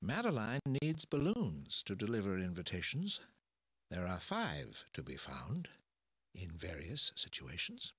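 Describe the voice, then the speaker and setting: A playful storybook narrator for a children's game, speaking in theatrical sing-song rhyme. The pitch swoops freely and the tone is a little husky. The recording is close and dry. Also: male voice, huge pitch swings, measured pace, fairly bright tone, dry recording, full character voice. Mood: playful, instructive. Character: storybook narrator, adult man, playful rhyming guide.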